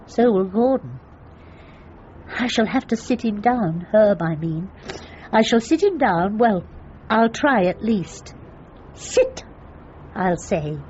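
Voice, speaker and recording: An actress playing a meek, earnest dog owner with a hesitant, apologetic manner in a radio comedy drama. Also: female voice, mid-range voice, a little mellow, highs cut off, slight background noise. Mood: earnest, confiding.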